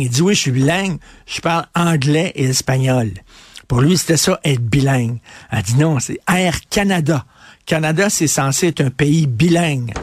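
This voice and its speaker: A man's voice: medium pitch, dry recording. A Québécois man hosting a radio talk show, giving emphatic opinionated commentary, hammering points with repetition, frequent fillers and restarts. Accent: Québécois French.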